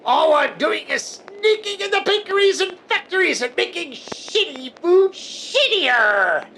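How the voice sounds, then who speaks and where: A woman's voice: very high-pitched, lively intonation, a little slow, fairly bright tone, slight background noise, exaggerated voice. A woman voicing a deranged, foul-mouthed villain in a kids' cartoon parody, mocking and theatrical. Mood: indignant, mocking.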